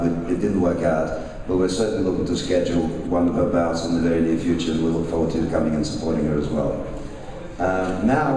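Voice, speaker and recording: A Russian-born man raised in Australia, a boxing promoter introducing fighters at a press conference with halting, restarting sentences. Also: low voice, noisy background. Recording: echoey hall, mic far off.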